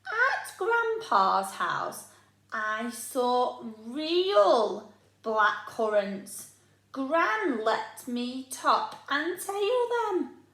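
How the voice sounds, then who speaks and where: A woman voicing a young storybook boy who is proud of his grandpa and stubbornly unimpressed, in a slow, exaggerated delivery. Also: sits high, big pitch swings, bright, forceful tone, pitched above the performer's own voice, exaggerated voice. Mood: proud, wistful. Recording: echoey room.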